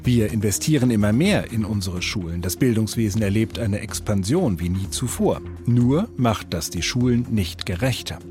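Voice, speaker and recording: A male radio interviewer with a somewhat low voice reads his introduction at a measured pace over faint background music. He then puts short prompts to his guest in the same even manner.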